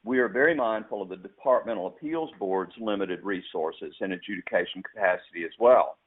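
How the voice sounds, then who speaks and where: Formal man speaking over a tinny phone line. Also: on the low side. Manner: formal, reads from a script. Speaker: administrative law judge introducing speakers. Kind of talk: prepared remarks read aloud. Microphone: phone conference line.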